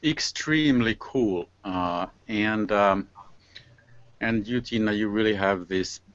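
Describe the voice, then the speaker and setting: Guy hosting an online hangout, deep-ish voice, lots of stop and start, bit lo-fi. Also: slow pace, fairly bright tone, dry recording. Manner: hesitant, stop-and-start phrasing. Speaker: host of an online panel show. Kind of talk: informal unscripted hosting. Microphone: online video call. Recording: slightly dull, lo-fi online video call.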